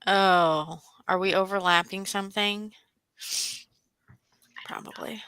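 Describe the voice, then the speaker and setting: A woman's voice: mid-range voice, fairly even intonation, takes it slowly, pushed and bright, smooth, no echo. A tired woman chatting informally as co-host of a casual group livestream, giggly and exclamatory, close to her mic.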